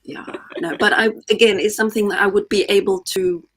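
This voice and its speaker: A woman from Austria, a native German speaker and guest language teacher, answering interview questions in a relaxed, conversational way. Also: mid-range voice, brisk, noisy background.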